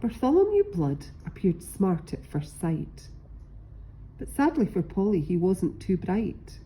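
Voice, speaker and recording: A woman narrating a rhyming picture book in an expressive, measured and dramatic storytelling style. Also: medium pitch, low-quality recording.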